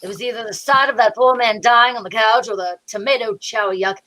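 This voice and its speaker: A fussy, fretful fellow played by a woman with a fairly high voice. He speaks in florid, melodramatic phrases, a theatrical sidekick from an old radio mystery. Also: fairly quick, pushed and bright. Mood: queasy, dramatic. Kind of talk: 1940s radio comedy-mystery acting.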